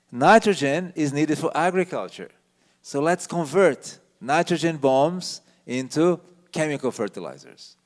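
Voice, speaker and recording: A man with a low voice giving an emphatic lecture on a handheld mic, asking the audience questions as he goes through slides. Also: a little mellow. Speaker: visiting speaker giving a temple talk. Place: temple hall with an audience.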